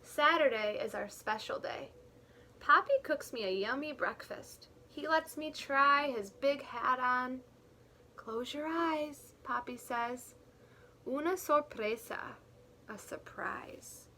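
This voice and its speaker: A woman teacher reading a picture book aloud to young children, expressively and deliberately, pausing to explain things in simple words. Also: high voice, bright, forceful tone, a little hiss or hum. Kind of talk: read-aloud storytelling with explanatory asides.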